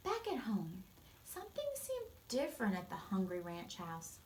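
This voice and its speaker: A woman reading a storybook aloud and explaining it to children in an expressive, gentle way. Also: medium pitch, moderate pace, slight background noise.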